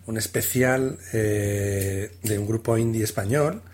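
A man co-hosting a casual music podcast, introducing songs in a relaxed, halting way. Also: fairly low pitch. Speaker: podcast co-host. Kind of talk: casual radio chat, playing another show's host.

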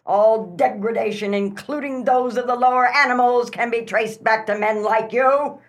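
A woman's voice: on the high side, steady tone, normal speed, natural voice. A woman acting a domineering, self-righteous wife in a comic radio play, denouncing in a haughty, declamatory manner. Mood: scornful tirade.

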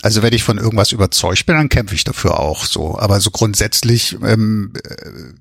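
A man speaks in a low register on a dry, close recording. He is an author answering interview questions in a conversational, explanatory way, often tagging his sentences with a short question. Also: moderate pace, gravelly, a bit bright, no echo.

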